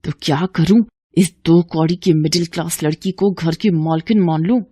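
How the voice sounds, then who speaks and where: A woman voicing a scornful, scheming middle-aged mother-in-law in a cartoon, with a sharp, haughty, scolding way of talking. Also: on the low side, moderate pace, slightly rough, dry recording, clean studio sound.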